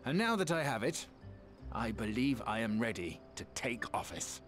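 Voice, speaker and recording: An actor voicing a flustered, well-meaning gentleman in a video game, stammering and blustering in formal, wordy speech. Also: mid-range voice, big pitch swings, moderate pace, soft background music. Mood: blustering, earnest. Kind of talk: video game character acting.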